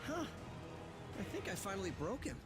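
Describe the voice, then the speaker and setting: Good-natured young superhero, high voice, animated, quippy, game acting, music underneath. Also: male voice, moderate pace. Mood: wry. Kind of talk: video game cutscene acting.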